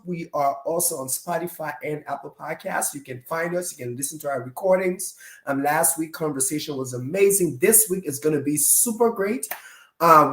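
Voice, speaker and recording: A male talk show host with a nasal, congested voice, opening the show in an upbeat, repetitive way. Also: on the high side. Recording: roomy echo.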